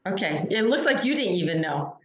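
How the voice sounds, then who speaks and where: A woman's voice: on the low side, very animated, a little fast, echoey room, highs cut off. A woman co-hosting a podcast over a video call, teasing and laughing often in casual chat. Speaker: podcast co-host.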